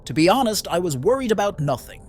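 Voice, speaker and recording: A man's voice: sits high, big pitch swings, fast, a little mellow. A man playing a carefree, overconfident young scout in a motion comic, with a breezy, dismissive delivery. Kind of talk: motion comic dramatic acting. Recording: music under the voice.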